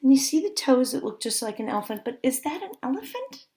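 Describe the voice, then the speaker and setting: A woman's voice: high-pitched, very animated, quick pace, mellow, a little hiss or hum. A woman reading a picture book aloud to young children as a teacher, stopping to ask simple questions and make exclaiming asides.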